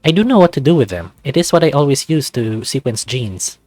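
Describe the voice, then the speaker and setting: A man's voice: medium pitch, big pitch swings, fairly quick, a little mellow, no echo, studio quality, soft background music. A man voicing a blunt, laid-back young male newcomer in a visual novel. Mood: self-assured.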